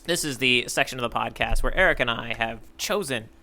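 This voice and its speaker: A man's voice: medium pitch, brisk, sharp tone, a little hiss or hum. A man co-hosting a podcast in casual comedic chat, often repeating words as he thinks aloud and playfully mock-solemn. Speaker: podcast co-host.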